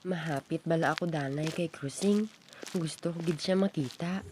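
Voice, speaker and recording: Young woman as lovestruck schoolboy, lowish pitch, dark soft-edged tone, earnest, radio drama. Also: moderate pace, breathy edge. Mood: smitten. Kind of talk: amateur radio drama acting in Filipino regional language.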